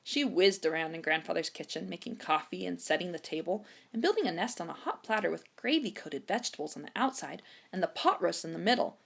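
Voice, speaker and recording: Very animated woman reading a children's story. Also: on the low side, a little fast, gravelly, hardly any pauses. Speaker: storytime reader. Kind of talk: expressive children's audiobook narration.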